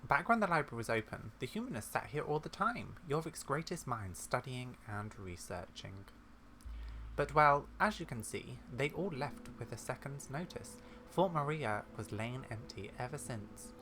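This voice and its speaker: A man reads out quest dialogue from a fantasy game at a medium pitch. His delivery is dramatized, like someone telling a storybook tale. There is noticeable noise behind his voice. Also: lively intonation, normal speed, sharp tone. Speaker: gaming video creator.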